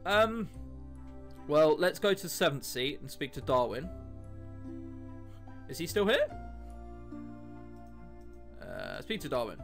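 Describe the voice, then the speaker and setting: A man's voice: on the high side, sing-song, slow pace, gravelly, pauses often, faint music behind. A man giving casual, sarcastic let's play commentary, joking and swearing as he plays and reads a visual novel. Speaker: video game let's player. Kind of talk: casual gameplay commentary, reading visual novel text aloud.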